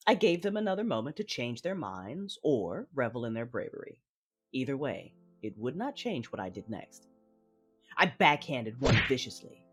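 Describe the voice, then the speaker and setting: An actress playing a cold, commanding Sith mother who narrates her own story in a measured, menacing, controlled manner. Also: very deep voice. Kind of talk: first-person audio drama narration with dialogue. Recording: music under the voice.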